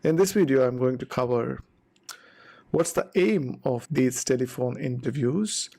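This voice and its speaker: Guy doing an explainer video, gravelly creaky voice, goes step by step in short phrases. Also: mid-range voice, expressive, a little slow, darkish tone. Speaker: presenter explaining visa procedures. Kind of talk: informal explainer talk to viewers.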